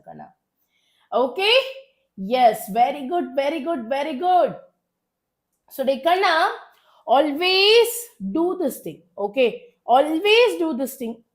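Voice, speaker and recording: A woman teacher with a South Indian accent speaks in a high-pitched voice made hoarse by a cold. She leads a live online revision class, urging her students along. She often repeats short phrases for emphasis. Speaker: chemistry teacher.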